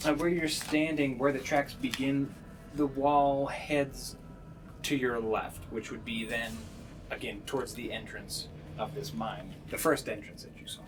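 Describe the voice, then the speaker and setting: Man game-mastering over clearly noisy ambience. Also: mid-range voice, level tone, a little slow. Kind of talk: improvised tabletop game narration.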